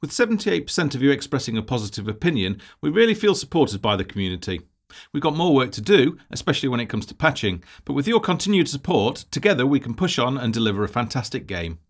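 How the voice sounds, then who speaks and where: A man narrating a scripted presentation as a project lead, in a measured, formal, businesslike way. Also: medium pitch, sing-song.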